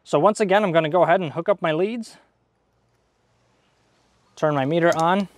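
Higher-voiced man explaining hands-on. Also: normal speed, no echo. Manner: plain-spoken, informal, step-by-step. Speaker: DIY repair video host. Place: outdoors in a leafy garden at a table. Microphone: clip-on wireless mic on the chest.

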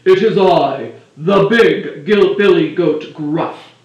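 A woman plays a big, heavy billy goat full of bold confidence. The storybook character speaks slowly and very loudly, echoing in the room from a little way off. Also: lo-fi sound. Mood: bold, defiant. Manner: loud, booming, confident. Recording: roomy echo, distant from the mic.

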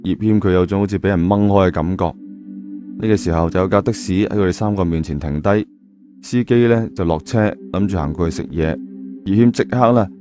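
A man's voice: deep voice, fairly quick, music in the background. A man narrating an audio drama in a colloquial storytelling style.

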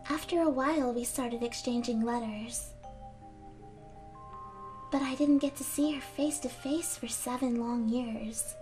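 A young woman voicing a gentle, frail, wistful teenage girl in a video game fan dub, speaking softly and earnestly. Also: a little high, measured pace, natural voice. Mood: reminiscing. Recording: amateur fan dub over game music. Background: game music.

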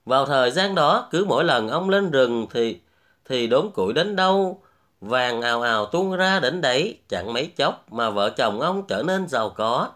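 Male storyteller for scripted fairy tales, medium-pitched, very lively intonation. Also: normal speed. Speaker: storyteller, host. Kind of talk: scripted fairy-tale narration.

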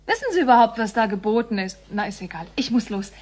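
A woman's voice: fairly high pitch, expressive, quick pace, noisy background, close to an everyday voice. An actress playing a breezy, curious, chatty woman in a radio play, talking colloquially and eagerly. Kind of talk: radio drama acting.

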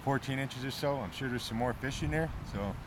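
A man in his forties, casually talking to a handheld camcorder while wading in a river, relaxed and self-deprecating. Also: mid-range voice. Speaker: fly fishing vlogger. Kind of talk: casual outdoor vlog commentary. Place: outdoors, wading in a river in early spring. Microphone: handheld camcorder mic. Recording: wind noise on a camcorder mic outdoors. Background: wind and rushing water.

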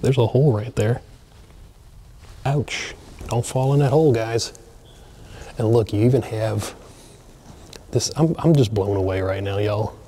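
A man excitedly narrating a walkthrough of an empty mansion, chatting to viewers as he films. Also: on the low side, slight background noise. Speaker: abandoned places explorer. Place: large empty mansion, indoors.

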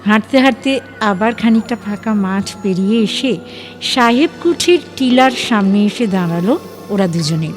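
A woman's voice: mid-range voice, lively intonation, normal speed. A woman reading dramatic scripted narration for an audio story. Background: faint music.

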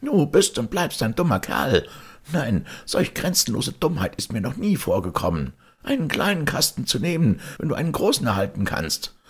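A man voicing a greedy, spiteful old wife in a fairy tale, scolding and bossy in a shrill forced voice. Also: big pitch swings, normal speed, slightly rough. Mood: scolding, furious.

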